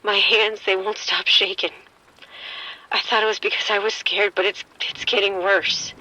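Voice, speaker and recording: An actress playing a frightened woman who has been bitten and is falling ill, speaking in shaken, halting bursts in an audio drama. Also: medium pitch, flat delivery, pushed and bright, rough recording. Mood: sick, fading. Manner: shaken, halting, breathy fear.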